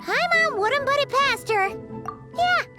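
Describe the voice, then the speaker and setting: A woman voicing a small, excitable, chatty childlike fairy companion with a squeaky voice in an anime-style video game. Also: a little slow, loud music behind the voice. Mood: chatty, musing. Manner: refers to self in third person, exclamatory.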